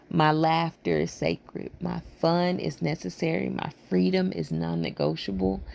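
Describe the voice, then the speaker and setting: A woman hosting a motivational podcast, talking in a loose, rambling way with a raspy, froggy voice, hoarse and short on sleep. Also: sits low. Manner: loose, rambling encouragement with casual slang. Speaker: podcast host.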